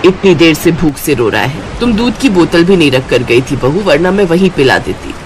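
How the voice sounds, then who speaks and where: A woman voicing a worried, gently scolding older mother-in-law in a cartoon story. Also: low-pitched, animated, moderate pace, background noise. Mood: reproachful. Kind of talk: Hindi cartoon story voice acting.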